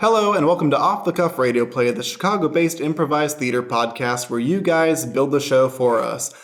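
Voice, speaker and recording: A man's voice: mid-range voice, brisk, over music. A man hosting and explaining an improvised radio play podcast as its stage manager, in a conversational presenting style. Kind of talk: friendly scripted-style show introduction and explanation.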